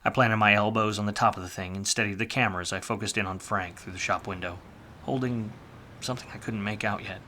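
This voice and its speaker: An actor playing a hard-drinking, wisecracking, jaded 1940s private detective in a film noir radio play, with sardonic, slangy delivery. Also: male voice, on the low side, steady tone, fast, pushed and bright. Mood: wry, brooding narration.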